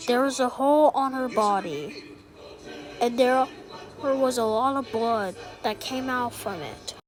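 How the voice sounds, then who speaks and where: A very high, slow female voice delivers a formal emergency broadcast as a grave president, acted by a woman in a homemade story. The phrasing is that of an official announcer reading scripted warnings, and loud music plays behind it. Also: lightly acted, low-quality recording. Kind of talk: scripted emergency alert narration.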